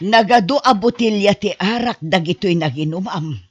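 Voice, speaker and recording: A woman's voice: medium pitch, normal speed, breathy edge, dry recording, close to an everyday voice. A woman with a Filipino accent acting a worried, scolding family woman in an audio drama. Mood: dismayed. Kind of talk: amateur Ilocano radio-style drama acting. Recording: dull, roomless lo-fi audio.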